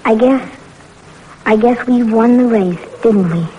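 Slow-talking, frail little boy, played by a woman. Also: on the high side, lo-fi sound, close to an everyday voice. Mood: weary, gentle. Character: weak, sweet young boy recovering in hospital. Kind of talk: 1940s radio acting. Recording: old radio recording with faint noise.

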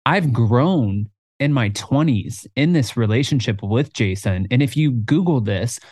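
A man in his twenties co-hosting a podcast in casual chat, talking in an animated way and jumping higher when he quotes himself. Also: unhurried, dry recording, clean studio sound. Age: 20s. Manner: animated, jumps up in pitch when quoting himself. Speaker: podcast co-host.

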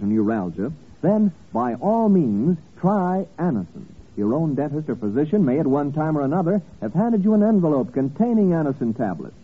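A man's voice: fairly high pitch, husky. A man announcing a scripted commercial in 1950s radio style. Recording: tinny old radio broadcast.

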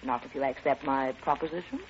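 A woman's voice: extremely low pitch, quick pace, a little hiss or hum. A woman playing a haughty, scheming duchess in an old-time radio drama, on a muffled recording with long reverb.

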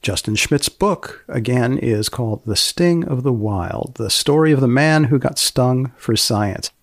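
A man hosting a podcast, reading a scripted sign-off with wry, deliberate phrasing. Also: mid-range voice, lively intonation, no room sound, studio quality. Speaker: podcast host. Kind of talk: scripted podcast outro narration.

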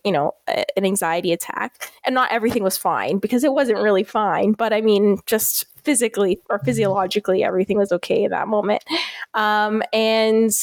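A woman's voice: medium pitch, dry recording. A woman, talking candidly and informally as a podcast guest telling her own story. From Canada. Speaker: podcast guest recounting personal experience.

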